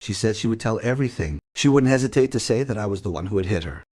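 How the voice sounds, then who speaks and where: A man playing a guilt-ridden, defensive middle-aged father giving a halting confession in a crime radio play. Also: fairly low pitch, animated, a little mellow. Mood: weary confessing.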